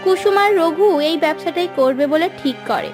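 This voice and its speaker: A woman's voice: very high voice, animated, moderate pace, music in the background. A woman narrating a cartoon moral tale in a scripted storytelling style.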